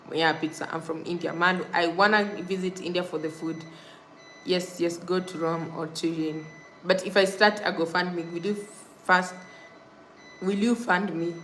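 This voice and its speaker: A woman's voice: lowish voice, a bit bright, a little hiss or hum, low-quality recording. A young woman from Kenya hosting a casual livestream, reading viewer comments and repeating thanks and subscribe reminders. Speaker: livestream host. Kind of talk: casual live-stream chat with viewers.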